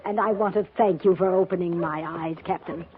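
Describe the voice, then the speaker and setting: A woman's voice: lowish voice, fairly even intonation, brisk, natural voice. An actress playing a prim, naive, earnest lady reformer with genteel manners in a 1950s radio western. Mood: naively delighted. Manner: genteel, effusive, proper. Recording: narrow-band 1950s radio with background noise.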